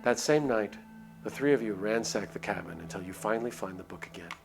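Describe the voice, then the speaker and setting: A man's voice: lowish voice, expressive, brisk, vocal fry, a little hiss or hum, poor audio. A man reading a visual novel aloud as a streamer, in dramatic narration with casual asides. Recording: music behind the voice. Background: music.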